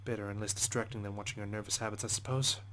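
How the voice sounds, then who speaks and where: A man's voice: fairly low pitch, steady tone, very fast, fairly bright tone, a little hiss or hum. A man hosting a let's play, reading visual novel narration expressively with side comments and frequent swearing.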